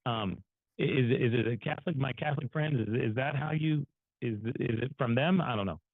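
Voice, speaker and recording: A man's voice: medium pitch, fairly quick, gravelly, no room sound. A man co-hosting an online show, telling personal stories in a casual, folksy, rambling and self-deprecating way. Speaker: co-host of an online finance show. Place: online video call. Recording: muffled phone-like call audio, dry.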